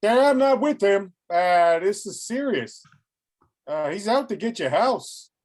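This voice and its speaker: Man as a high-voiced, hesitant construction foreman. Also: expressive, unhurried, darkish tone. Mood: exasperated, awkward. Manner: hesitant, conciliatory. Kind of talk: online script table read.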